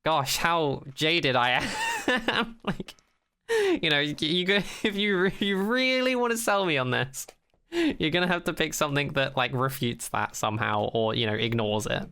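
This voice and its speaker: Male co-host, crisp forward tone, smooth voice, opinionated, skeptical, informal podcast talk, halting rephrasing. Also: fairly high pitch, animated, pushed and bright. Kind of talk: casual unscripted podcast chat.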